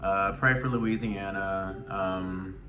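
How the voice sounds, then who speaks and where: A man's voice: sits low, fairly even intonation, takes it slowly, music in the background. A man from Atlanta with a Southern US accent speaks earnestly and emphatically to his viewers in a personal commentary, repeating phrases to drive them home. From Atlanta, Georgia, United States. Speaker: video blogger, show host.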